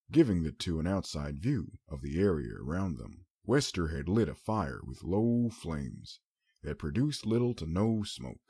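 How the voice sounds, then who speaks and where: A man's voice: low-pitched, warm tone, husky. A man reading scripted fiction aloud as an audiobook narrator.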